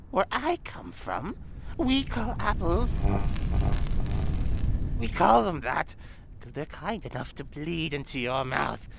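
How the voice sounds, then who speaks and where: A woman voicing a creepy, ravenous old demon woman in an audio drama, with ominous, theatrical, archaic phrasing and a gravelly creak. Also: low voice, noisy background, heavily acted. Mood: eerie, insistent.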